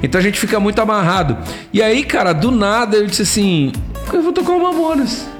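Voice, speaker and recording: Middle-aged male radio host, gaúcho accent, slightly high voice, loose repetitive storytelling, music clearly behind him. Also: very animated, fairly quick. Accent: southern Brazilian, gaúcho. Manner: colloquial, repeats himself, exclamatory. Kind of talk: casual radio chat, storytelling. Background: background music.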